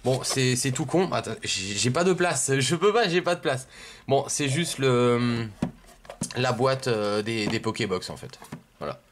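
A man's voice: medium pitch, expressive, a little slow, a little hiss or hum. A man from giving casual, hesitant, rambling unboxing commentary indoors at a table. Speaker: video host, card collector.

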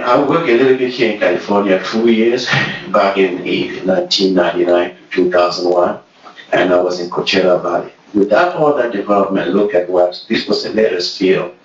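Deep-voiced Cameroonian man lecturing. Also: slight background noise. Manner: earnest, speaks slowly with fillers. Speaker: program leader giving a conference talk. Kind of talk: conference presentation. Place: conference room. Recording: distant roomy hall recording, dull sound.

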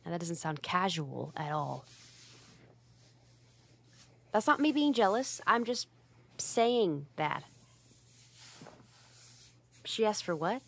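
A woman's voice: on the low side, big pitch swings, slow pace, gravelly, pauses often, a bit bright, heavily acted. A woman playing a flustered, easily embarrassed tsundere roommate in an ASMR roleplay, stammering and defensive but secretly fond. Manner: stammering, defensive, huffy, hesitant.

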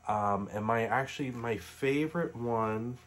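A man in his late twenties, sitting on a living room couch and reading a Christmas story aloud in a gentle, casual way. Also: mid-range voice, unhurried, slight background noise. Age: late 20s. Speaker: storybook reader. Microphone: webcam facing him at close range.

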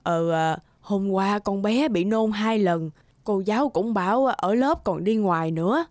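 A woman voicing a gentle, worried middle-aged housemaid in an animated drama, coaxing and hesitant. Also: medium pitch, measured pace, no echo, close to an everyday voice.

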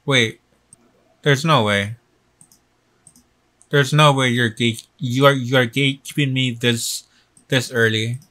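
A young man casually commenting while practicing a language lesson in a small room, slow and self-deprecating. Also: medium pitch, fairly even intonation, sharp tone. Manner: slow, self-deprecating, repeats words aloud while learning. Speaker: vlogger. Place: small room indoors, bedroom.

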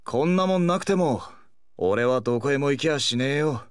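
A man playing a brash, hot-headed teenage delinquent brawler with rough, cocky speech in an anime fighting game. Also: medium pitch, animated, normal speed, no room sound, studio quality, close to an everyday voice. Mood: firm, earnest.

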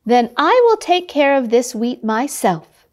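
A busy little red hen from a children's storybook, voiced by a woman. She is determined and emphatic, her voice fairly high and very animated, and she takes her time over the words. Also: unhurried. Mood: resolute.

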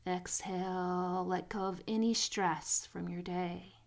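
Soft-spoken woman guiding a sleep meditation. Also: on the low side, fairly even intonation, creaky. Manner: soothing, unhurried, with pauses between phrases. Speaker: meditation guide.

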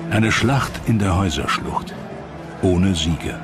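Male documentary narrator, deep, measured, dramatic scripted read, over a music bed.

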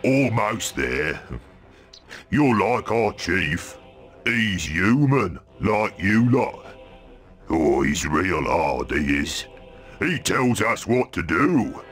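A man voicing a big, dim ogre henchman with rough slangy speech in a fantasy video game. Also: low voice, very animated, extremely slow, fairly bright tone, soft background music, full character voice. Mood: matter-of-fact, proud. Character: big brutish, simple-minded male ogre henchman.

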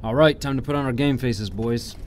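A man playing a steady, commanding special-ops army captain in an audio drama, talking in clipped military phrases. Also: mid-range voice, fairly quick, slight background noise, natural voice. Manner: clipped military radio talk.

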